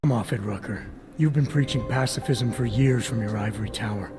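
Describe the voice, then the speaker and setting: An actor playing a stern, pragmatic agent in a video game cutscene, speaking in a blunt, matter-of-fact way. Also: male voice, medium pitch, a little fast, lightly acted. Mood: blunt, irritated. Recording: music behind the voice.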